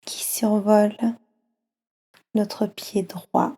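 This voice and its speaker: Slow, soothing woman guiding relaxation. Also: mid-range voice, flat delivery, husky. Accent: French. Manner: soft, slow, soothing, with pauses. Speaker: yoga nidra guide for children.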